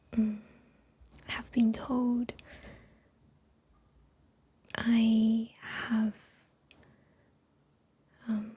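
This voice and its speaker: Woman whispering asmr real slow, breathy airy voice, chinese speaker, lots of little pauses. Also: fairly high pitch. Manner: soft unvoiced whisper with many pauses and fillers. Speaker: ASMR creator.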